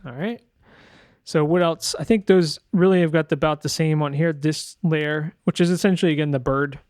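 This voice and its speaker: Male tutorial narrator, slightly higher pitch, hesitant, informal, thinking aloud, very dry sound. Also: steady tone, normal speed, darkish tone, no room sound. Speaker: sound designer giving a tutorial.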